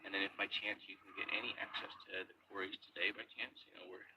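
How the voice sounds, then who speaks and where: A man's voice: low voice, level tone, quick pace. A man hosting a search show, talking casually and explaining things in a laid-back way outdoors by a lake. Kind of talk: casual on-location narration. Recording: loud background noise outdoors.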